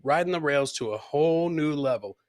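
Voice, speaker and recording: A man's voice: a little high, expressive, fairly quick. A man hosting a live comedy podcast, casually commenting on fail clips and repeating his own lines as he chats with viewers.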